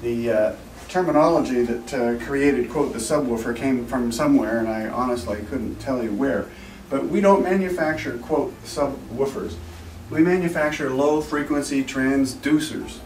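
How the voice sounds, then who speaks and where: A man giving an informal, technical product presentation as a company representative, explaining things in a measured, slightly formal way. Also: mid-range voice, darkish tone, a little hiss or hum. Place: echoey indoor trade show demo room. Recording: roomy, distant mic, music in background.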